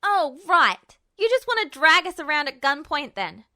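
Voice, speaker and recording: Woman acting a high-pitched, furious young boy. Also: sing-song, moderate pace, full character voice. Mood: furious, scornful. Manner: shouting, taunting, sweary. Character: furious, defiant, mocking twelve-year-old boy.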